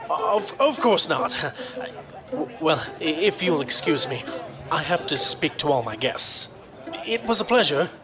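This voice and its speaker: A man's voice: on the high side, big pitch swings, measured pace. A man acting as a polite but easily cowed crooked party host in an audio drama. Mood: nervous politeness. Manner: courteous, flustered when challenged. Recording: loud music and party crowd behind.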